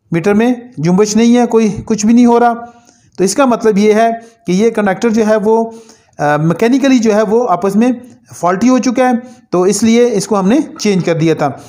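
A man with a fairly high voice talks through a hands-on electrical repair at a workbench. He explains each step in plain words and keeps telling the viewer to look. He stops now and then to correct himself. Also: darkish tone. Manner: explanatory, repeatedly saying "dekhen", with small slips and self-corrections. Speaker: repair tutorial host. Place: indoor workbench.

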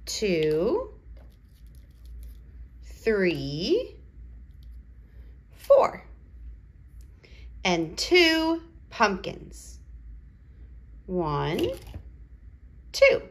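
A woman's voice: medium pitch, fairly bright tone. A woman in her thirties presenting to toddlers and reading picture books aloud in simple, exaggerated child-directed speech. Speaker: children's educational presenter and storyteller. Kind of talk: toddler lesson and picture-book read-aloud.

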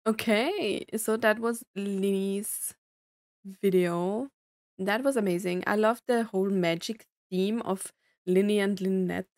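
A woman's voice: mid-range voice, slow pace, darkish tone, no echo, clean studio sound. A young woman giving casual, enthusiastic reaction commentary over game trailers. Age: young adult. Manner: enthusiastic, filler interjections like mm-hmm. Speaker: reaction video host. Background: game trailer music and audio.

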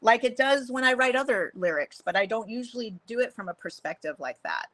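Middle-aged woman guest, crisp bright tone, chatty and rambling, webcam audio from home. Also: animated, a little fast. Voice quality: deeper voice for a woman. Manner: chatty, many fillers and restarts, laughs often. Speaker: guest, photographer and songwriter. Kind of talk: casual interview chat. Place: home room, video call.

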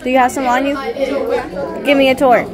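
A woman's voice: high-pitched, flat delivery, fairly quick. A teenage girl casually vlogging at school, chattily listing the snacks she brought, filmed on a handheld phone. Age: teens. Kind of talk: casual vlog tour. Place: school classroom and hallways, indoors. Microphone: phone camera held in hand. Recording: noisy chatter of students behind.

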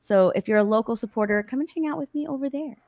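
A tired woman with a rough voice, chatting casually on a livestream panel. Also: fairly high pitch, fast talker, a little mellow, dry recording.

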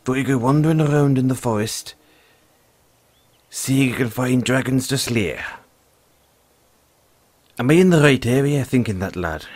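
A man playing a gruff but friendly forest dragon tamer, talking in a folksy way straight to the listener in an audio roleplay. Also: medium pitch, expressive, normal speed, pauses often, darkish tone, no room sound, lightly acted. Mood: stern, wary. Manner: folksy, teasing, calls the listener lad.

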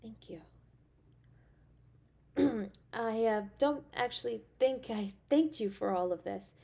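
A woman playing an affectionate, anxious, playfully teasing girlfriend in a romantic audio roleplay, with a tender, expressive delivery. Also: a little high, takes it slowly, vocal fry, exaggerated voice. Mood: soft, grateful. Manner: tender, expressive, with sighs and soft chuckles.

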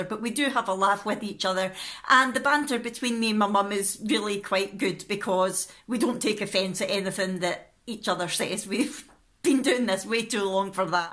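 A woman's voice: mid-range voice, bright, forceful tone. A middle-aged woman with a Scottish accent, chatting informally to camera as a vlogger in her living room. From Scotland. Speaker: vlogger and pantomime scriptwriter. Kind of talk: casual vlog talk to camera. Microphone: phone at arm's length.

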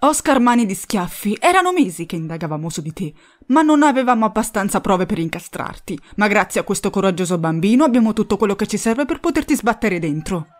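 A woman's voice: medium pitch, sing-song, fairly quick, close to an everyday voice. A woman playing a stern, feared schoolteacher with a curt, commanding manner in a comedy sketch. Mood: stern, triumphant. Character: stern, feared female schoolteacher, secretly undercover police.

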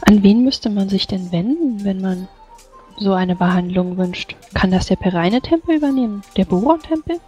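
A man's voice: high-pitched, animated, moderate pace, darkish tone. A man roleplays at a tabletop game in a tentative, questioning way. Faint music plays behind the voice. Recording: voice over background music.

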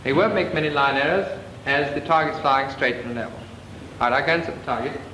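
A brisk naval flying instructor gives crisp, clipped orders in a slightly high voice. The old film soundtrack is echoey and full of hiss. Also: male voice, sharp tone. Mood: instructive. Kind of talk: 1940s training film acting.

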